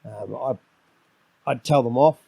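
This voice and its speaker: A middle-aged man with a New Zealand accent, a podcast guest in casual conversation, with a loud, domineering voice that rambles and repeats words as he starts his sentences. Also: mid-range voice, slow, vocal fry, slightly rough, no room sound.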